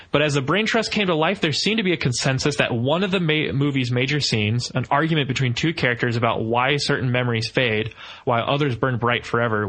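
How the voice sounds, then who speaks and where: Bright-voiced man hosting a podcast. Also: mid-range voice, low-quality recording. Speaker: podcast host. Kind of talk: podcast discussion and book reading aloud.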